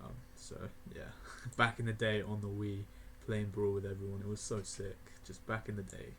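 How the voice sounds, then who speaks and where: An eighteen-year-old man rambling casually through a game tier list, laughing often and filling pauses with ums and uhs. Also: on the low side, little pitch movement, a little hiss or hum. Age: young adult (18). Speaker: gaming video creator.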